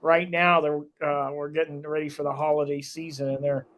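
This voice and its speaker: A man's voice: on the high side, little pitch movement, brisk, a bit bright. A man hosting a local talk show, chatting casually with a guest and rambling with lots of fillers.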